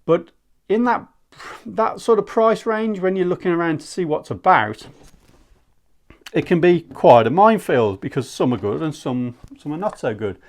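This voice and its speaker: A man's voice: fairly high pitch, lively intonation, normal speed. Candid man reviewing gear. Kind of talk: casual product review to camera.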